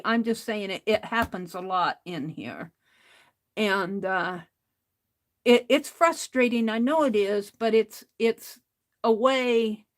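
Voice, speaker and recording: A woman hosting an art livestream, talking casually to chat in a loud voice, rambling and restarting her sentences. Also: on the high side.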